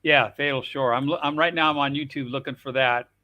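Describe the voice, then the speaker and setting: A man's voice: mid-range voice, steady tone, fairly quick, pushed and bright. A man chatting casually on a live stream panel, rambling loosely and cursing offhandedly. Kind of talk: casual chat.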